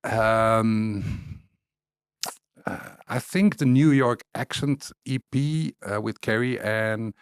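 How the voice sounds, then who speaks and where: Amsterdam-accented man, low and relaxed. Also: slow, smooth, no echo, studio quality. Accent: Dutch Amsterdam. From Netherlands. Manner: relaxed, rambling, laughs often, many fillers. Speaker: DJ and producer guest. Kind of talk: casual interview chat.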